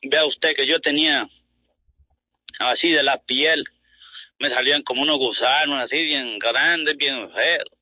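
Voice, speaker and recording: A man from Honduras gives a spoken testimonial. His voice is intensely bright and husky, in a dry sound, and he talks plainly, haltingly and with repetition. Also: medium pitch, no room sound, rough recording. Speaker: client giving a testimonial.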